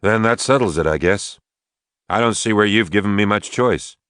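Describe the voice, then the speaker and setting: A man plays a tired male surgeon in an audiobook novel. His low voice is brusque and emphatic, exasperated but in command, in a dry recording. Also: moderate pace, fairly bright tone, clear, resonant tone, dry recording, natural voice. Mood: resigned.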